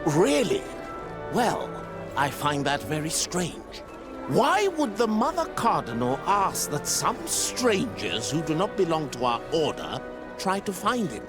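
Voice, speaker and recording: An actor playing a suspicious, zealous priest of an inquisitorial order in a video game, haughty and accusatory. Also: male voice, a little high, wildly swooping pitch, measured pace, over music.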